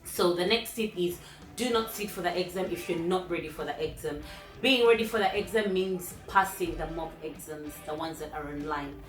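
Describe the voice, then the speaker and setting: A woman's voice: on the low side, moderate pace, soft background music. A woman who is a native English speaker, hosting her own channel and chatting directly to viewers as she walks through numbered tips. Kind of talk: casual tips talk to viewers. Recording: roomy echo.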